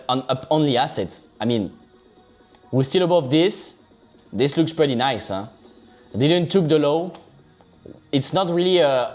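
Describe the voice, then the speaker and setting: A man's voice: fairly high pitch, darkish tone. A man with a French accent giving casual live trading commentary on a stream, loose and chatty, often saying "so basically" and checking in with "okay?". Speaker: livestream crypto trader.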